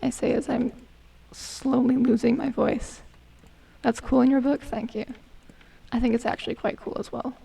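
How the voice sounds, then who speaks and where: Hoarse, gravelly young woman gamer. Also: a little high, steady tone, normal speed, mellow, far from the mic, low-quality recording. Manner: chatty, self-mocking, hums and mutters to herself. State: losing her voice, hoarse. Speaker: gaming streamer. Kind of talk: casual gameplay commentary.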